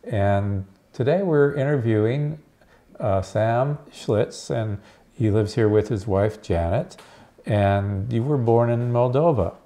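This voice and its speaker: A man's voice: medium pitch, takes it slowly, warm tone. A man hosting a community television interview, asking simple questions and giving brief encouraging backchannels. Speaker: host.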